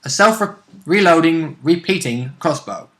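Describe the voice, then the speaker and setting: A man's voice: on the high side, lively intonation, a little slow, fairly bright tone. A man chatting casually as co-host about a tabletop role-play game.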